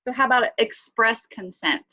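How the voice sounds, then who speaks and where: A woman's voice: fairly high pitch, moderate pace, sharp tone. A woman teaching an online class with a slight cold, asking students questions and using many fillers. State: has a cold, sniffly. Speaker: instructor.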